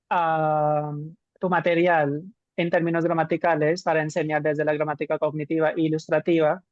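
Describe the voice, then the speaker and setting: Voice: medium pitch, no room sound. Teacher on a dull call. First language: Hindi. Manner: explanatory, poses rhetorical questions. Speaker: Spanish teacher. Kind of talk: live online teaching discussion. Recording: dull dry lo-fi call audio.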